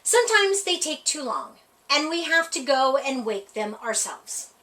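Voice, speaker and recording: A woman with a high voice reads a children's picture book aloud at storytime, her pitch swinging widely as she plays up the lines. The delivery is playful and expressive, and she sounds set back from the microphone. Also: a little slow. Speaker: library storytime reader. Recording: voice heard from a distance off the mic.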